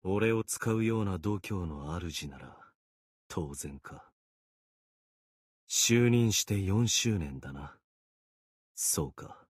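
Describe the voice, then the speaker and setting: A man voicing a gloomy, self-deprecating young warrior sword spirit in a video game, brooding and resigned, with a husky voice. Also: expressive, no room sound, studio quality, exaggerated voice. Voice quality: low, husky. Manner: brooding, resigned, muttering with trailing pauses. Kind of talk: Japanese video game character acting.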